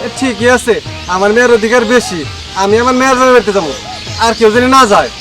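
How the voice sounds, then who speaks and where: A man plays a touchy, feuding poor in-law in a comedy drama. The voice is extremely high and quick, with a Bangladeshi accent and an indignant, quarrelsome edge. Clear music sits behind it. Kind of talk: Bengali comedy drama acting.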